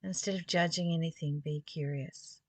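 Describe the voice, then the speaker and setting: A woman's voice: low voice, steady tone, moderate pace, no room sound. A woman reading spiritual text aloud and musing on it informally, in a reflective way with rhetorical questions. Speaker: spiritual study reader and commentator.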